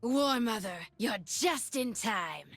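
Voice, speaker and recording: A woman's voice: fairly high pitch, lively intonation, slow, vocal fry, no room sound, full character voice. A woman voicing a proud young female warrior from the north in a video game. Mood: proud, fierce. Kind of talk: video game character voice acting.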